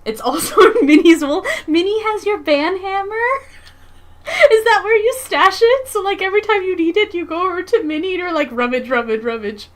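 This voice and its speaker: A woman livestreamer chatting casually with her viewers in a playful, expressive, giggly manner. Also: extremely high pitch, moderate pace. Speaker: live streamer and comics artist.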